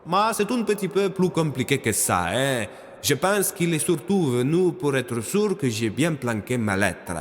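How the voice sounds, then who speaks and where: Man voicing a chatty, higher-pitched explainer. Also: big pitch swings, unhurried, big-room sound. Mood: explaining.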